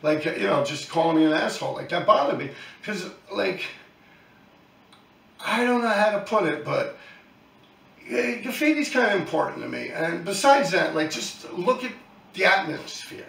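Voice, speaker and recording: A man's voice: high voice, animated, normal speed, creaky, a bit bright, reverberant, recorded from a distance. A man, talking bluntly and profanely to his viewers in a rambling monologue. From New York City. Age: 50s. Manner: blunt, profane, talks in loose run-on asides. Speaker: YouTube channel host, graffiti writer.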